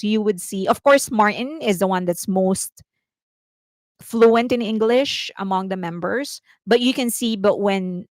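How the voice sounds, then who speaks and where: A woman's voice: medium pitch, dry recording. A Filipino woman with a Filipino accent, hosting a casual commentary stream, chatty and explanatory, reading comments aloud and laughing often. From Philippines. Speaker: host.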